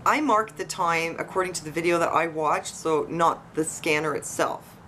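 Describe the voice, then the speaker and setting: A woman with a fairly low voice hosts an informal investigative commentary for online viewers. She speaks conversationally, posing questions and speculating as she goes. A faint buzzing noise sits behind her voice. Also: moderate pace, sharp tone. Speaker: online video host. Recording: noisy, buzzing tree-cutting outside. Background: buzzing from tree cutting outdoors.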